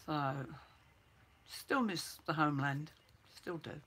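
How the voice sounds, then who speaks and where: An older woman from Birmingham with an English accent softened by years in New Zealand, chatting casually to her viewers and reminiscing. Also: low voice, animated, slow pace, husky. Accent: English with some New Zealand mixed in. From England (Birmingham). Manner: chatty, reminiscing, repeats herself. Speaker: livestream host.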